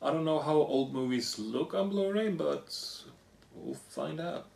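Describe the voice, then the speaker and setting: Hoarse young man in an echoey room. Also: a little high, unhurried, a little mellow. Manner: reads off lists, offhand comments. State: hoarse, losing his voice. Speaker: vlogger. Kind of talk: casual unboxing chat. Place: living room couch, indoors.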